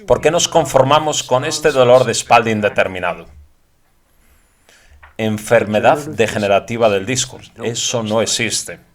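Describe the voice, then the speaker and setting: A man's voice: mid-range voice, normal speed. A man narrating the translation of a lecture as a voice-over.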